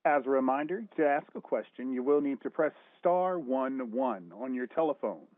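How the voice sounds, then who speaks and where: A man's voice: mid-range voice, lively intonation, normal speed, no echo. A man working as a conference operator, formally reading routine instructions over a phone line. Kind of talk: scripted call instructions. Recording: thin, dry phone line.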